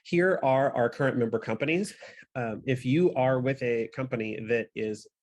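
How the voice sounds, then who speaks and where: A man's voice: medium pitch, darkish tone. Earnest American man presenting slides. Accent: American Midwestern. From Missouri, USA. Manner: earnest, conversational, frequent fillers and restarts. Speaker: conference speaker for a solar industry association. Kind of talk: online conference presentation with slides.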